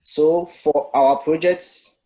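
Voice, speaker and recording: A man's voice: on the high side, steady tone, takes it slowly, warm tone. A young man with a Ghanaian accent giving a prepared student presentation. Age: young adult.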